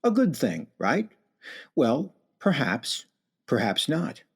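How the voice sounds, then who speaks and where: An actor narrating a scripted radio play in a wry, deliberate, theatrical way. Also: male voice, medium pitch, wildly swooping pitch, full character voice. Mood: wry, knowing. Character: wry, measured male storyteller narrator. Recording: clear music bed behind narration.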